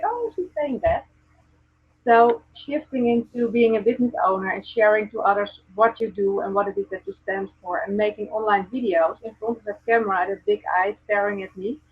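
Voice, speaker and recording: A woman's voice: mid-range voice, slightly rough. A woman from the Netherlands with a Dutch accent, chatting earnestly as a guest on a video call with many ums and restarts. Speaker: guest, presence coach. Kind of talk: casual video call chat. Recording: tinny phone-like video call audio.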